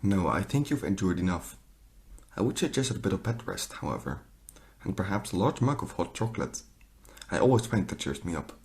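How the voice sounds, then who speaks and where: Man acting elderly wizard headmaster, low pitch, wise, formal, grandfatherly, audio drama. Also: big pitch swings, brisk. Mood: gentle, reassuring. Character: wise, kindly old male wizard headmaster.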